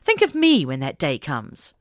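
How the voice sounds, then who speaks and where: A woman's voice: low voice, wildly swooping pitch, a little slow, dry recording, clean studio sound, full character voice. A woman voicing a proud female agent in an audiobook story, earnest and emotional. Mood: bitter defiance.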